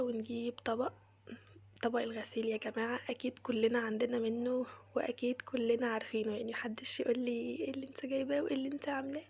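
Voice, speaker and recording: An Egyptian woman with a cold guides viewers through a casual home tutorial. Her voice is very breathy and sits a little high. She speaks in a soft, mostly whispered tone, with a narrow, even melody and friendly asides. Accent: Egyptian Arabic. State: has a cold, voice changed. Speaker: crochet maker demonstrating cleaning.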